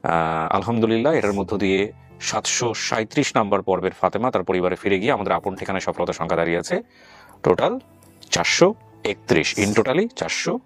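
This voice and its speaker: A man's voice: on the low side, expressive, a little fast, soft background music. A man with a Bangladeshi accent hosting a show, addressing his guests and audience. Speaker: show host.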